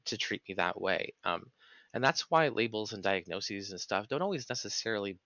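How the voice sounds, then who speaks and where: A man's voice: lowish voice, lively intonation, moderate pace, sharp tone, no room sound, dull audio. A man speaking as a podcast guest in reflective conversation, explaining things earnestly and analytically in long sentences.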